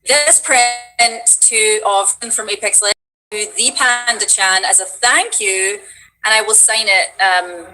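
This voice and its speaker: Bright-voiced Scottish woman. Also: medium pitch, measured pace, slight background noise, poor audio. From Scotland. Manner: chatty, animated storytelling with fillers. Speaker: voice actress guest. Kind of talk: casual stream chat.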